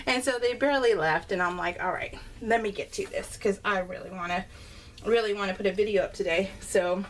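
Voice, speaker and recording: A middle-aged woman talks through her crafts in a casual, unscripted vlog style. Her voice sits at an ordinary pitch for a woman, and her manner is chatty, giggly and rambling. There is faint noise behind her, as in a simple home recording. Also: a little fast. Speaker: craft vlogger presenting her scrapbook layouts. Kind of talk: casual, unscripted chat to viewers while showing a scrapbook.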